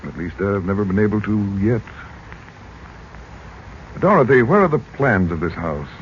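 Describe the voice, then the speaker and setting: A man acting as a mysterious magician with a lowish, calm, portentous voice in a radio play. The old recording is muffled and faintly noisy. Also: normal speed, lightly acted. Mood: calm, probing. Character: grave, mysterious uncle, a worldly magician. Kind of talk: 1940s radio drama acting.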